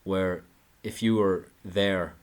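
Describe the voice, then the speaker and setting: Man, deep voice, sarcastic commentator delivering an indignant monologue with stumbles and restarts. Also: steady tone, takes it slowly. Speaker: political commentator.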